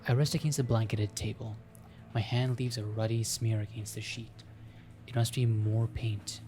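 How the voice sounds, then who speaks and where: A man's voice: lowish voice, level tone, normal speed, mellow, slight background noise. A man reading a visual novel aloud as host, with theatrical narration and joking asides.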